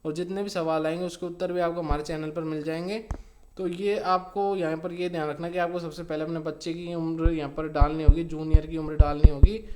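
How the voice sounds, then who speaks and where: A man's voice: fairly high pitch, a little mellow. A man giving an informal step-by-step explainer as a tutorial host, repeating and stressing key instructions. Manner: repetitive, step-by-step instructing with emphasis on key words.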